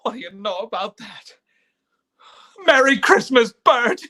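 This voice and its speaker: A man's voice: extremely high pitch, animated, unhurried, bright, forceful tone, full character voice. A man playing an emotional, stammering family man in a live radio play, speaking with breathless urgency. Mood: overjoyed, giddy. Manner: stammering, impassioned, breathless pleading. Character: frantic, despairing then overjoyed family man.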